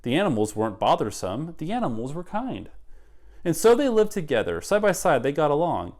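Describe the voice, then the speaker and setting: A middle-aged man reading a picture book aloud to children in an expressive, dramatic way. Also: on the high side, a little fast. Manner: animated, dramatic. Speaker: read-aloud guest reader. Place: child's bedroom.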